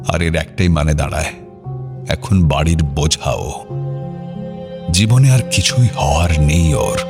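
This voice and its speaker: A male narrator tells a dramatic story in a very low voice. His delivery is measured and somber, with faint music playing behind him. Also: expressive. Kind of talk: scripted audio drama narration.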